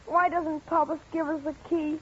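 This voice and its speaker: A woman's voice: little pitch movement, normal speed, a bit bright. A woman voicing a frightened, grieving young boy in an old-time radio drama, pleading and accusing. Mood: uneasy. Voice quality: high childlike voice. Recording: dull lo-fi radio recording.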